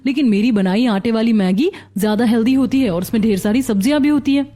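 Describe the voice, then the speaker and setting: A woman's voice: on the high side, quick pace, mellow, close to an everyday voice. A woman playing a caring, sensible young mother and daughter-in-law in a cartoon family drama. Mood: affectionate.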